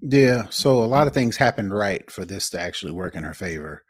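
A man with a low voice, recorded close and dry, co-hosts a tech podcast. He talks conversationally as he works through the news. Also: animated, brisk, slightly rough, dry recording. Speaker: podcast co-host.

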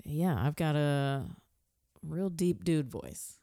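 Low-voiced woman podcast host. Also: slow, no room sound, clean studio sound. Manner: laughs often, animated storytelling. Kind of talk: casual chat.

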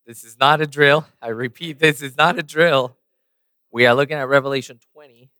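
A man's voice: medium pitch, normal speed, sharp tone, no room sound, studio quality. A man preaching a sermon and praying, losing his voice a little, with many fillers and restarts. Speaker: pastor. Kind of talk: sermon with prayer.

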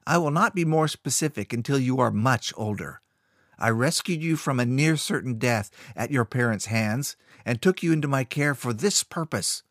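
A man voicing a sinister, scheming male rune master who speaks smoothly but with a cold, threatening edge. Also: mid-range voice, animated, moderate pace, no room sound, clean studio sound, voice pushed up, natural voice. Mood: cold commanding.

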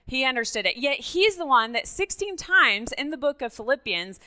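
Woman from Mexico, native Spanish speaker, high bright voice, informal church testimony, animated storytelling. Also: normal speed. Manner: animated storytelling, often checking in with listeners. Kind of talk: personal testimony in a sermon, conversational and informal.

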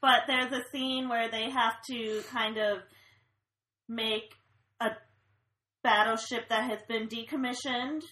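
Wry young woman, bright voice, echoey. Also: fairly high pitch, slow, long pauses. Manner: wry, deadpan jokes, giggles. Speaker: co-host of a movie chat. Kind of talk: casual chat. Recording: echoey room.

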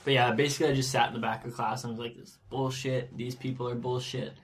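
A young man with an average-pitched voice chats on a casual podcast. His delivery is blunt and sardonic, with loose, sweary phrasing typical of a student among friends. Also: level tone, quick pace. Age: young adult. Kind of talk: casual chat.

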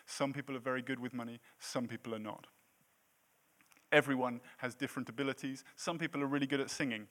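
A man's voice: mid-range voice, steady tone, fast, fairly bright tone. A man in his late thirties preaching a sermon in a church, with a self-deprecating, jokey manner between points. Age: 30s. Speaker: pastor.

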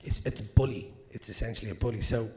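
An Irish actor with a naturally hoarse voice, answering audience questions candidly and rambling, with fillers and swearing. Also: male voice, fairly low pitch, fast talker, mellow. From Ireland. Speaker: actor guest. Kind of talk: live Q&A answers. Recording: long hall reverb.

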